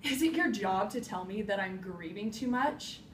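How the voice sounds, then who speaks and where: A young woman performing a dramatic monologue as a grieving, self-searching woman hiker, in deliberate, emphatic phrases with heavy pauses. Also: medium pitch, a little mellow. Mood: defiant, pained. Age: young adult. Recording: roomy echo, distant mic, faint noise.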